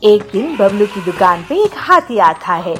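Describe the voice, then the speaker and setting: A woman's voice: mid-range voice, very animated, unhurried, soft background music. A woman narrating a scripted moral story for children.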